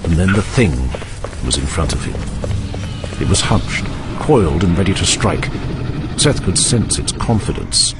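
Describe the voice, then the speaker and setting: A man delivers intense dramatic narration in a fairly low voice. His intonation is lively and emphatic, built for a battle-heavy audio drama. Loud music runs underneath him. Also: unhurried, pauses often.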